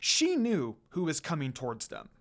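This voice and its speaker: A man narrating a fan fiction story in an expressive reading style. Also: fairly high pitch, moderate pace.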